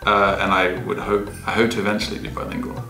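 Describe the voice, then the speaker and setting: A man's voice: on the low side, flat delivery, fast, pushed and bright. A young man answering interview questions thoughtfully, with frequent fillers. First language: English. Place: bright room with large windows. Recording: echoey room, music behind.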